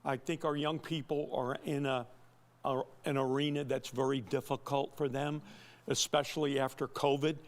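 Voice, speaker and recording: A man's voice: medium pitch, flat delivery, normal speed. An older American man talking as a coach being interviewed, in a folksy, rambling way that repeats words as it goes. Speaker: baseball coach and guest. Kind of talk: conversational TV interview.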